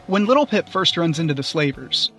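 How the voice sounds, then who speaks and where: A man's voice: a little high, sing-song, fairly quick, bright, forceful tone, faint music behind. A man giving scripted review commentary as a guest reviewer.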